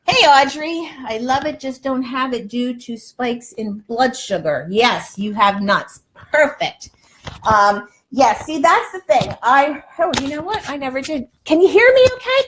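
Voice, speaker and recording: Female, 60s, higher-pitched voice. Casual live cooking host talking to viewers, chatty, emphatic, folksy delivery. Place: home kitchen, indoors.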